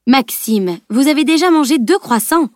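A woman's voice: high-pitched, animated, normal speed, darkish tone, no echo, studio quality, voice pushed up. A woman voicing a prim middle-aged bourgeois wife, formal, disapproving and easily flustered, in an audiobook. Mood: reproachful. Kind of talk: dramatised audiobook dialogue.

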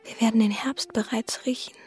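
High, breathy young Bavarian girl, played by a woman. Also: steady tone, a little fast, darkish tone, in a whisper, faint music behind, close to an everyday voice. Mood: dreamy, secretive. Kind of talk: radio drama monologue and dialogue.

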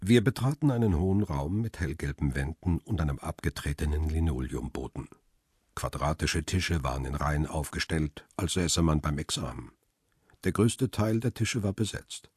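Weary middle aged guy telling his own story in a radio drama, really low husky voice, dry close sound. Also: moderate pace, darkish tone, no echo. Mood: sober, reflective. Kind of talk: radio drama first-person narration.

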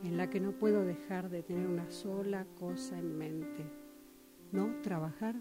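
A woman's voice: on the low side, fairly even intonation, measured pace, music in the background. A woman performing a dramatic monologue reading, as a student in a radio drama workshop.

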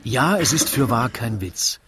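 An actor playing a wry storyteller who narrates a rhymed radio play with dramatic, drawn-out suspense. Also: male voice, mid-range voice, lively intonation, noisy background, natural voice.

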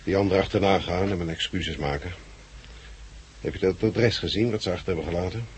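An actor playing a weary, frank, remorseful former group leader in a 1960s Dutch radio drama, speaking plainly. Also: male voice, low voice, a little fast, close to an everyday voice. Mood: practical. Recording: noisy vintage 1969 radio recording.

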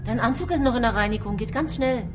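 An actress playing a cool, restless cellist wife in a naturalistic radio drama. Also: female voice, on the high side, fast, over music, close to an everyday voice. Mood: brisk.

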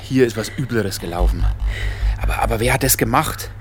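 An actor playing a laconic provincial police detective in a naturalistic radio drama, with a dry, slightly hesitant way of talking. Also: male voice, on the low side, a little fast, over music, lightly acted. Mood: uneasy, businesslike. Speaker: police chief inspector.

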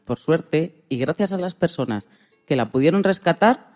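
A woman's voice: sits low, expressive, normal speed, a little mellow. Woman radio presenter over music.

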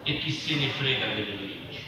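A man giving a deliberate literary reading aloud in short, broken phrases. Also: medium pitch. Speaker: reader at a public literary reading. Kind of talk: dramatic reading of literary prose poetry aloud. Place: live reading at a festival venue. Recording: echoey noisy hall, distant mic.

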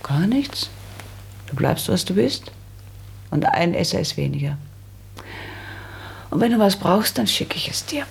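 Prim bossy practical young woman, radio play, really husky w lots of creak, firm n matter of fact. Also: on the low side, very animated, unhurried, long pauses, full character voice. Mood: confident. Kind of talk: German radio drama acting.

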